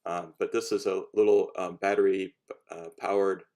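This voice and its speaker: A man casually explaining a homemade project in a halting, unscripted how-to style, rephrasing as he goes. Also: deep voice, level tone, a little mellow, a little hiss or hum. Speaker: hobbyist cosplay maker giving a demonstration.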